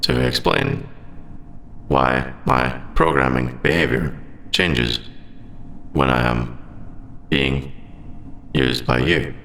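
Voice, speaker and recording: A man voicing a formal, conflicted, lovestruck artificial intelligence in a scripted ASMR audio roleplay, speaking in halting, clipped robotic phrases. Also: a bass voice, expressive, creaky, a bit bright, reverberant, slight background noise, heavily acted. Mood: conflicted, hesitant. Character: lovestruck sentient AI, formal, conflicted, hesitant about forbidden feelings.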